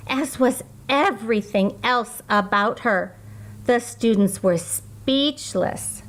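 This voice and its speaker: A woman reading a children's story aloud in an expressive, deliberate, sing-song way. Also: high voice.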